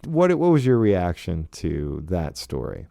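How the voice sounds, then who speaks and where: A man with a low, mellow voice hosts a casual podcast discussion. He thinks aloud, hesitating and tagging his phrases with little check-ins. The sound is close and dry. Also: huge pitch swings, a little slow, gravelly, no echo. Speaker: podcast host. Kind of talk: casual podcast discussion of old radio shows.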